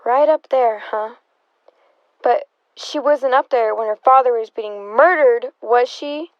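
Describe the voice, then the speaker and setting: A woman's voice: fairly high pitch, takes it slowly, no echo, highs cut off. A woman acting a nosy, sardonic interrogator in a radio drama, asking pointed questions in a wry, insinuating way. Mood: wry, needling. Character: nosy, sardonic, probing interrogator of a murder suspect's sister.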